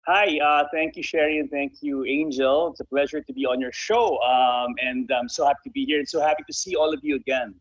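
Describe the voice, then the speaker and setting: A man's voice: mid-range voice, fairly even intonation, fairly quick, few pauses, dry recording. A man giving polite, conversational interview answers as a guest, often repeating words as he goes. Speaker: guest, bobsled team president. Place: remote call from Manila. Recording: muffled, lo-fi online call.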